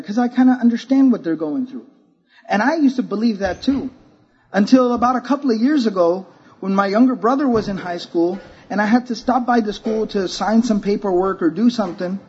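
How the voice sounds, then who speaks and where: A man preaching to a conference crowd, casual and joking one moment, earnest the next. His voice sits fairly high and sounds a bit husky. The recording is muffled and lo-fi. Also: a little mellow. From Texas. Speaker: speaker at a religious conference. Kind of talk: sermon to a youth-focused audience.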